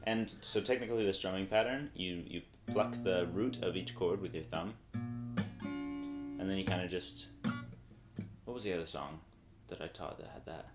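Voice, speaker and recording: A young man in his twenties casually teaching a song on guitar, rambling and thinking aloud as he goes, seated in a small bright room and recorded by a camera a few feet away. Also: low voice, moderate pace, long pauses. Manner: relaxed, rambling, thinks aloud mid-sentence. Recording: echoey room with acoustic guitar.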